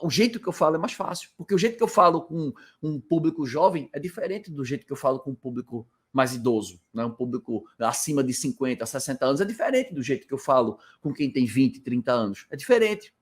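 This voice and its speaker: A man's voice: mid-range voice, animated, quick pace, hardly any pauses, slightly rough. A man with a Brazilian Portuguese accent who teaches informally to camera from indoors in a rental house, explaining a point and then answering his own rhetorical questions. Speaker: hospitality business coach.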